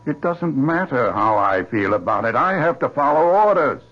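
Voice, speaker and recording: An actor playing an authoritative but resigned navy admiral in a 1970s radio drama, terse and husky. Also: male voice, medium pitch, sing-song, moderate pace. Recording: dull vintage radio recording.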